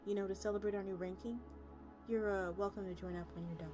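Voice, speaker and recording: A woman's voice: medium pitch, steady tone, quick pace, close to an everyday voice. A woman voicing a practical, sympathetic woman from a search team in a story, reasoning tactfully. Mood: conciliatory. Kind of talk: character reading of a story. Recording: loud music behind the voice.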